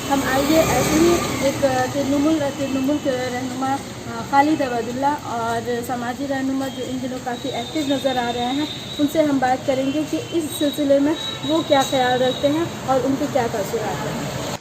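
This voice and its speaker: A woman's voice: high-pitched, steady tone, moderate pace. A woman presenter introducing a news interview in formal, Urdu-leaning diction. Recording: clear background noise, vehicle sounds.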